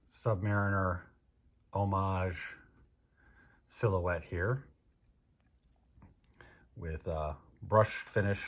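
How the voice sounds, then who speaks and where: An American man from Maryland with a husky voice, casually reviewing products as host in a dry, unhurried manner. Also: low-pitched. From Maryland, United States. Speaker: host of a watch review show.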